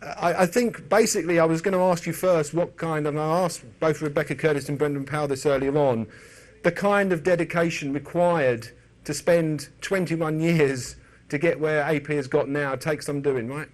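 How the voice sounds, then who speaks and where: A man hosting an informal sports interview, speaking haltingly and repeating words as he frames his questions. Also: fairly high pitch, fairly even intonation. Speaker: interview host.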